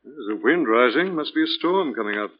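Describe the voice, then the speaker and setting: An actor playing a composed, authoritative gentleman investigator, reassuring and inquisitive, in 1940s radio style. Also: mid-range voice, lively intonation, brisk, close to an everyday voice. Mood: calm, inquisitive. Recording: dull lo-fi 1940s radio recording.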